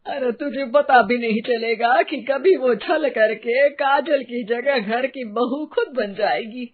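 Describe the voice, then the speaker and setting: Woman playing plaintive, high-pitched old village mother-in-law. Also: slow. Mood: scolding. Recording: tinny phone-like narrow band.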